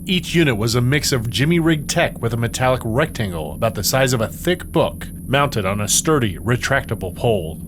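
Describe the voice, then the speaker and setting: A man's voice: mid-range voice, lively intonation, fairly quick, hardly any pauses, noisy background. A man reading dramatic scripted narration as the narrator of an audio drama. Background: music.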